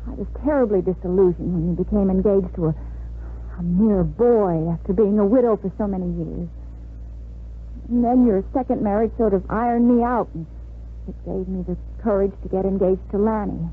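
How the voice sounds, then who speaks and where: A woman's voice: mid-range voice, normal speed, phone-quality sound, natural voice. Casting: woman to play a young bride-to-be in vintage radio drama, resigned and wry, heard through a thin, noisy old recording. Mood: disillusioned. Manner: wry, world-weary. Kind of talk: 1950s radio drama acting.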